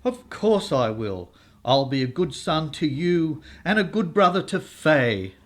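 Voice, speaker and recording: A man's voice: fairly high pitch, very animated, unhurried. A man playing an eager, polite older suitor in a comic radio soap, earnest and ingratiating.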